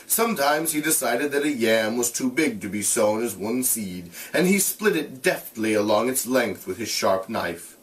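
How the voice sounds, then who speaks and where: A man's voice: medium pitch, lively intonation, moderate pace, few pauses, distant mic, rough recording. A man who sounds like a teacher, reading a novel aloud and explaining it in plain, casual terms. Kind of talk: read-aloud narration with casual explanatory asides.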